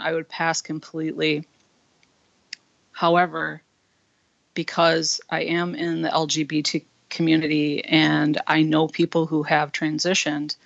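A man with a husky voice, a comedian speaking frankly as an interview guest, with many fillers. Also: fairly high pitch, a bit bright, no echo. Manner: earnest, frank, with frequent fillers. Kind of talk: candid interview talk. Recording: dull lo-fi dry line.

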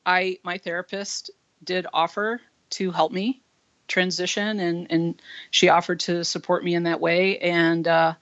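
Guest comedian, man, husky voice, frank and earnest; flat delivery, lo-fi dry sound. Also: sits high, no echo. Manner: earnest, frank, with frequent fillers. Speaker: interview guest. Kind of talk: candid interview talk. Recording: dull lo-fi dry line.

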